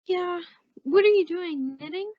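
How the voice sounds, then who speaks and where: Breezy best friend played by a woman, high voice, teasing and gossipy, muffled vintage radio comedy. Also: unhurried. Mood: wry, teasing. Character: wry, chummy, gossipy female friend of the housewife. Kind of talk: 1940s radio sitcom acting. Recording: muffled old-time radio style recording.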